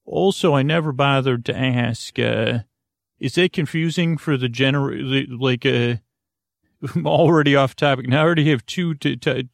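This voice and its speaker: A man hosting a bedtime podcast, with a creaky, dulcet voice, rambling and stumbling off topic with many fillers. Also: mid-range voice, dry recording, studio quality. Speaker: sleep podcast host. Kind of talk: meandering bedtime podcast intro.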